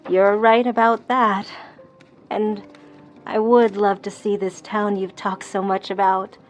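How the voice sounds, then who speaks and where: A woman's voice: medium pitch, flat delivery, takes it slowly, faint music behind, lightly acted. A woman playing hesitant young newlywed wife. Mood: warming. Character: young Jane, earnest newlywed wife torn by duty.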